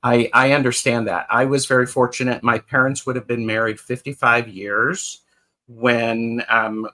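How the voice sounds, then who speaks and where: A man's voice: mid-range voice, little pitch movement, unhurried, bright, forceful tone. A man hosting a casual interview chat, encouraging his guest with frequent affirmations. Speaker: host.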